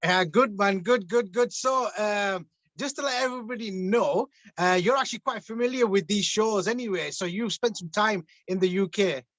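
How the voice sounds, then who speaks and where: A man from England hosts a casual radio chat, stumbling over lots of ums and restarts. His voice is high and the sound is dry and close. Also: normal speed, fairly bright tone, no room sound. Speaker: radio host.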